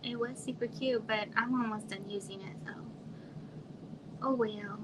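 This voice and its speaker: A woman from Arizona in her thirties, chatting casually on a home livestream in a quiet, soft voice that trails off and sometimes drops to a whisper. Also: on the high side, fairly even intonation, a little slow. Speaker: livestream host. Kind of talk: casual live chat with viewers. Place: home room. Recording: noisy home livestream audio.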